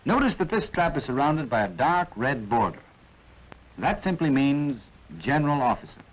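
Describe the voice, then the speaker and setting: Vintage American training film narrator, lively pitch. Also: male voice, normal speed. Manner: clipped, didactic with wry asides. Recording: dull, band-limited vintage film soundtrack.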